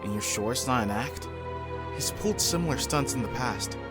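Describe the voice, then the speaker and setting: A man plays a cocky young male hedgehog hero from a cartoon. He speaks at a medium pitch in a casual, sardonic way, sounding wry and skeptical. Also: expressive, normal speed, loud music behind the voice, lightly acted. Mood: concerned. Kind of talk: comic book fan dub cartoon acting.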